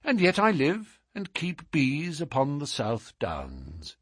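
Male narrator as suave detective, audiobook, wry, unruffled, politely ironic, very animated intonation, dry close recording. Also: a little high, slow, breathy edge, dry recording, voice pushed up, heavily acted. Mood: amused. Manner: courteous, ironic, precise, unruffled. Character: urbane, wry, self-assured English gentleman detective.

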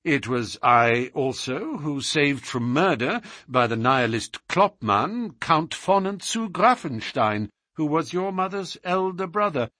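Male narrator as suave detective, audiobook, wry, unruffled, politely ironic, very animated intonation, dry close recording. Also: a little high, measured pace, hardly any pauses, no room sound, pitched above the performer's own voice. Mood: self-assured. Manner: courteous, ironic, precise, unruffled. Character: urbane, wry, self-assured English gentleman detective.

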